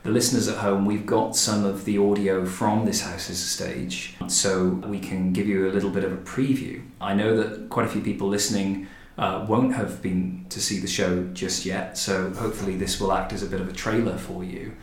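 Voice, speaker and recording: A man's voice: low voice, level tone, moderate pace, few pauses. A man hosting a conversational podcast interview about the historic house he directs. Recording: echoey room.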